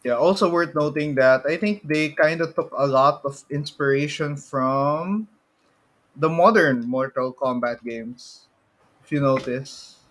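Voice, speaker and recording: A man's voice: on the high side, a little slow, fairly bright tone, low-quality recording. A man co-hosting a casual pop culture podcast, often repeating and rephrasing as he goes. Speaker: podcast co-host.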